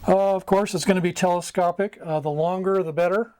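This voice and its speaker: A middle-aged American man giving an informal instructional talk, going item by item in short, halting phrases. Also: sits high, steady tone, a little mellow, clear, resonant tone, no room sound. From United States. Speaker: amateur radio mentor, video host. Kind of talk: informal instructional explanation at a whiteboard. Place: small wood-panelled room indoors.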